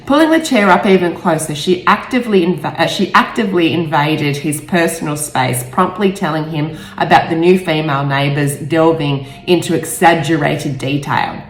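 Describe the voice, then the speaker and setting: A woman's voice: low voice, moderate pace, hardly any pauses. A woman author narrating her own book, stumbling over and rereading the odd phrase. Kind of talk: audiobook-style narration with brief personal asides. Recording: roomy echo, faint music behind.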